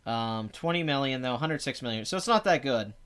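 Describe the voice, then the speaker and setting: A man with a raspy, phlegmy voice and a clogged throat, hosting a casual, rambling box office talk with blunt, sarcastic asides. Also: medium pitch, expressive, a little fast, a bit bright. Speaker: box office show host. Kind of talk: casual live commentary.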